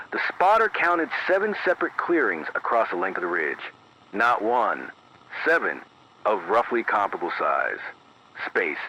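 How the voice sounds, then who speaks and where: Male actor as sober American emergency broadcaster: forceful, bright edge, deliberate phrasing, band-limited AM radio with faint hiss. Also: on the low side, dull audio. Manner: measured, deliberate, cautious, repeating key words for weight. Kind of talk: scripted emergency radio bulletin.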